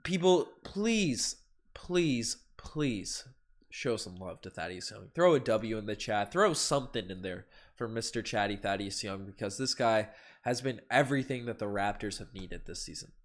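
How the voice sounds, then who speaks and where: A man with a head cold talks through a live post-game reaction, his voice audibly stuffed up. He rambles in a fan's casual way, restarting phrases often, and his volume swings between loud bursts and quiet stretches. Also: lowish voice, animated, normal speed. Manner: rambling fan talk with many restarts, swings loud and soft. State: congested with a cold. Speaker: sports podcast host.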